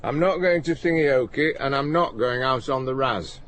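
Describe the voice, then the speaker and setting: A man's voice: mid-range voice, moderate pace, a bit bright, slight background noise, close to an everyday voice. A man playing a stubborn, prickly, eccentric older man with a Northern English accent, terse and prim, in a naturalistic radio play. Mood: huffy, indignant.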